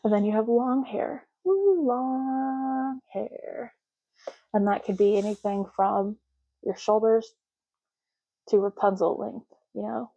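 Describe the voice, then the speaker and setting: A woman doing a soft-spoken ASMR roleplay, talking slowly and casually and often repeating words in a deliberate way. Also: a little high, muffled recording.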